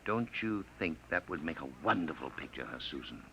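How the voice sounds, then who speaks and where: A smooth scheming villain. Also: male voice, rumbling low, sing-song, brisk, sharp tone, full character voice. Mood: persuasive. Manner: persuasive, smooth talker. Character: smooth scheming male time-traveler villain. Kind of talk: 1950s radio drama acting. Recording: dull noisy 1950 radio broadcast.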